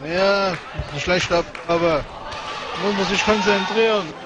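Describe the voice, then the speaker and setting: A man with a regional German dialect giving relaxed, chatty live commentary on a match, with many fillers and short exclamations. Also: high-pitched, takes it slowly. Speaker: sports commentator. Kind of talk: casual live commentary on a cycle-ball match. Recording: noisy sports hall with crowd ambience.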